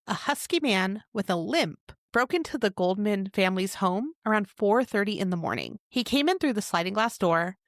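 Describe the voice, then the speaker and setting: A woman co-hosting a podcast, telling a crime case in a chatty way with quick asides. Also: medium pitch, animated, no room sound, clean studio sound. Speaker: podcast co-host. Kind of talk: casual true crime chat.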